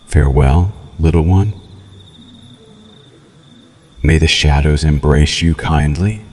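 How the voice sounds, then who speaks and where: A man's voice: rumbling low, darkish tone. A man playing a cruel, seductive vampire in an ASMR roleplay, taunting the listener with formal, theatrical menace. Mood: condescending, menacing. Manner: slow, theatrical, mocking, menacing purr with formal diction.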